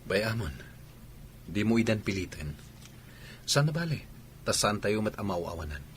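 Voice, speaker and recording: A man playing a patient, understanding husband in a radio drama, calm and reassuring, with a Filipino accent. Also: fairly low pitch, unhurried, slight background noise. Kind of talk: Ilocano radio drama acting.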